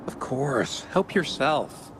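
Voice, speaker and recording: A genial game merchant, chatty and theatrical. He speaks in slow, flowery phrases that swing widely in pitch, with some faint noise behind him. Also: very animated. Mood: matter-of-fact, proud. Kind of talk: video game character acting.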